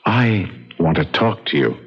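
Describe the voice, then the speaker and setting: An actor playing an awkward, earnest, obsessed man in a 1970s radio drama, halting and stammering as he speaks. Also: low voice, lively intonation, breathy edge, exaggerated voice. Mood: fixated, pleading. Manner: hesitant, halting, stammering repeats. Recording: muffled 1970s radio broadcast recording.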